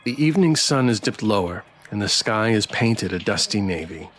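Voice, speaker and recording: A man live-streaming a story game, switching between casual, playful, halting chat with viewers and reading narration aloud. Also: fairly low pitch, lively intonation, fairly bright tone. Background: background music.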